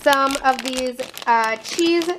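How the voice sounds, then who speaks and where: A woman in her twenties with an American accent, talking casually through items for a home vlog in short, halting phrases. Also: high-pitched. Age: 20s. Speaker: family vlogger. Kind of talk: casual show-and-tell vlog narration. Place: home kitchen. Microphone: handheld camera at arm's length. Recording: noisy handheld camera audio in a kitchen.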